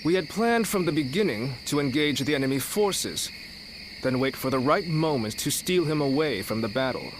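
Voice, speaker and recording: A man playing a shrewd, commanding strategist of ancient China in a game cutscene, speaking formally and deliberately. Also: fairly high pitch, expressive, background noise, natural voice. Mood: stern, accusing. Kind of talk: video game cutscene acting.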